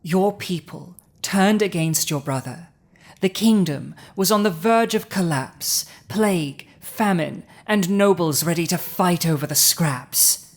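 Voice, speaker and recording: A woman voicing a proud, domineering female dragon with an awkwardly tender side, in a dramatic ASMR roleplay, speaking deliberately with a husky, breathy voice. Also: lowish voice. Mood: intense, indignant. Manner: slow, deliberate, imperious, with long pauses.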